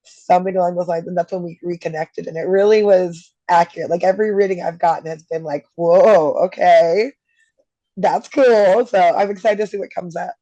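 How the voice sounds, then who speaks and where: A woman in her thirties, hoarse while getting over an illness, chatting easily as a guest getting a tarot reading. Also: lowish voice, fairly even intonation, moderate pace, dark tone, dry recording. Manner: chatty, easygoing, laughs readily. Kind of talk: casual chat. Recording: dull lo-fi dry sound.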